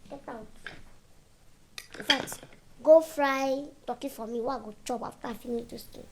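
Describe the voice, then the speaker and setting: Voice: medium pitch, steady tone, normal speed, creaky, noisy background, close to an everyday voice. An actor playing a bossy, scornful young person with a Nigerian accent, giving orders in a drama. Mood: dismissive. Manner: scornful, commanding. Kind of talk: acted Nigerian Pidgin comedy-drama dialogue.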